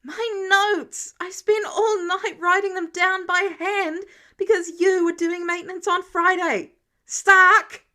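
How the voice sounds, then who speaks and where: A woman's voice: very high voice, fairly even intonation, slow, bright, forceful tone, pitched above the performer's own voice, exaggerated voice. A woman voicing an exhausted, exasperated teenage boy intern in an audiobook story, indignant and dramatic. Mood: furious yelling.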